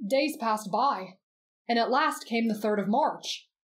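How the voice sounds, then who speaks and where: A woman voicing a gentle teenage boy who reads in a soft, measured, expressive storybook way for roleplay ASMR. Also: fairly high pitch. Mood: measured storytelling.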